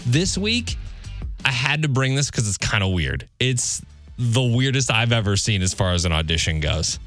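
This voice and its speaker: A man's voice: medium pitch, big pitch swings, normal speed, a bit bright, soft background music. A man with an American accent chatting casually as a radio host.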